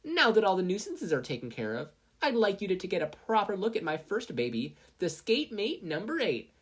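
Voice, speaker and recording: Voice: medium pitch, wildly swooping pitch, fairly quick, breathy edge, voice pushed up. A narrator voicing a brash, boastful teenage girl inventor in a showy, salesy manner for a fan fiction reading. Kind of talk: podfic character voice.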